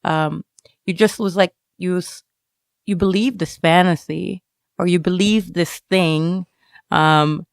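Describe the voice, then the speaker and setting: A woman being interviewed, talking casually and reflectively, halting as she searches for words. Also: lowish voice, fairly even intonation, gravelly, no room sound, clean studio sound. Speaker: interviewed student writer.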